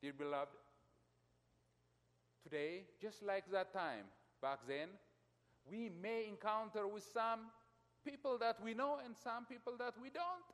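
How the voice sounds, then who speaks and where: A middle-aged man from Ethiopia preaching a sermon as a pastor. He speaks emphatically to his congregation, his loudness surging and falling. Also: sits high, a little slow, long pauses. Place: church. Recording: long church reverb.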